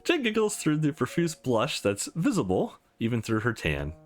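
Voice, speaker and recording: Male let's play narrator, mid-pitched and very animated, reading story text casually over a music bed. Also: moderate pace. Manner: animated read-aloud with casual asides. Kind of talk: reading a visual novel aloud. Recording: music behind the voice.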